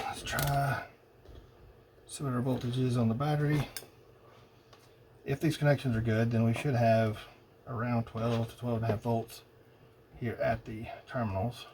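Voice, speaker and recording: A man thinking aloud in a halting, casual way as he tests electronics at a workbench. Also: mid-range voice. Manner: muttering, halting, pondering to himself. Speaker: hobbyist demonstrating electronics testing. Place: indoor cluttered electronics workbench. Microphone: old phone used as camera above bench. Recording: noisy phone-camera workshop recording.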